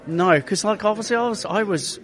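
An animated man with a fairly high voice tells racing stories in a casual interview. He acts out quoted lines as he goes and often stops to restart a phrase. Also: a little fast. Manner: animated, retells quotes in his own voice, many restarts. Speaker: racing driver guest.

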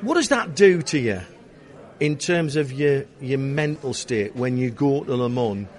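A man's voice: mid-range voice, animated, measured pace. A man interviewing a guest in casual chat, engaged and jumping in with questions.

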